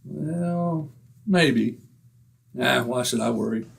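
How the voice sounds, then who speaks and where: A man's voice: medium pitch, slow, vocal fry, background noise. A man playing a blustery, boastful middle-aged husband with folksy, slangy grumbling in an old-time radio comedy.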